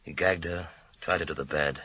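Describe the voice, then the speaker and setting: An actor playing a weary, sardonic police inspector in a radio crime drama, blunt and dry in manner. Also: male voice, a bass voice, fairly even intonation, moderate pace, pushed and bright, breathy edge, no echo, full character voice. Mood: grim, dry.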